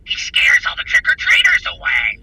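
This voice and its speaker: A man's voice: moderate pace, rough recording. A man playing a snarky, heckling, penny-pinching sidekick in a campy audio comedy. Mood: grumbling. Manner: heckling, sarcastic. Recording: loud music behind voice.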